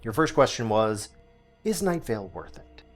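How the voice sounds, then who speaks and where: A man playing an earnest small-town radio host, delivering a scripted monologue deliberately, with dramatic pauses and emphasis. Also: fairly low pitch, big pitch swings, faint music behind. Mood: begrudging, earnest.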